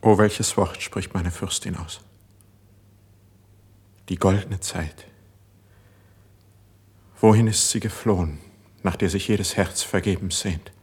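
An actor playing an impassioned, melancholy court poet, declaiming lofty verse in a measured way in a classic German radio play. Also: male voice, deep voice, steady tone, a little mellow, breathy edge, heavily acted. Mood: fervent longing.

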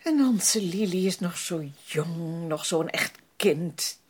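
A woman's voice: on the low side, slow, darkish tone, husky. An actress in a Dutch radio play playing a proper, cautious upper-class mother, kindly but firm and genteel. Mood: doubtful, firm.

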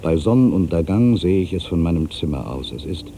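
A man playing an ageing, solitary writer who broods on his past in a deliberate, reflective literary monologue. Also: deep voice, expressive, dark tone, slight background noise. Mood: wistful. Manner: brooding, deliberate, with pensive pauses. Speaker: monologue performer. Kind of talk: literary dramatic monologue, reflective first-person narration.

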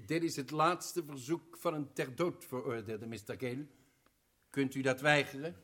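An actor playing a commanding colonel who lays out an accusation forcefully and deliberately. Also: male voice, medium pitch, close to an everyday voice. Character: commanding male colonel investigator. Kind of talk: old radio drama acting.

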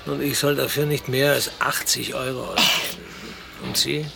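A man's voice: medium pitch, normal speed, gravelly, background noise. An actor playing a put-upon, kindly servant in his fifties in a radio play, with a polite, hesitant, earnest manner. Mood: friendly, bashful. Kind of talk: naturalistic radio play acting.

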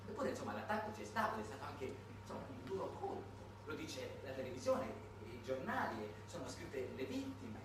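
A man's voice: mid-range voice, very animated, moderate pace, hardly any pauses. A man performing a theatrical satirical monologue, with dramatic swings in delivery, as a storyteller on his own. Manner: dramatic delivery swinging between hushed and shouted. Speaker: stage monologist, writer-performer. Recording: echoey, noisy recording from a distance.

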